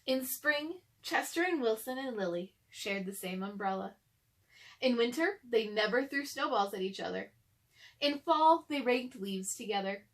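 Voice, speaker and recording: High-voiced woman reading a storybook. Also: expressive, a little slow. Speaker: storybook reader. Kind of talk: children's read-aloud narration.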